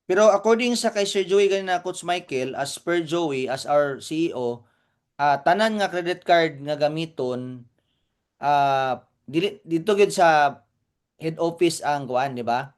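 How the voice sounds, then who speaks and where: High-pitched male co-host with Cebuano accent. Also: lively intonation, unhurried, clear, resonant tone. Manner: repeats points and checks that listeners follow. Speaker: co-host of a training meeting. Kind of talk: informal live explanation in mixed languages.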